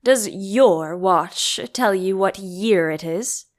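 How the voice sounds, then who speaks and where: Woman as an eccentric, slow-spoken grumbling storybook man. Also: medium pitch, pitched below the performer's own voice, lightly acted. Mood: contrary. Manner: blunt, contrary, riddling. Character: eccentric, grumbling, rude male tea-party hatter.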